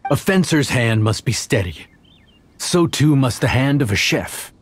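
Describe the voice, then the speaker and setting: A man's voice: medium pitch, lively intonation, a little slow, slight background noise, close to an everyday voice. An actor playing a grumpy, weary, brusque male chef in a video game. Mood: reluctant, gloomy. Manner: curt, world-weary, dramatic. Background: game music.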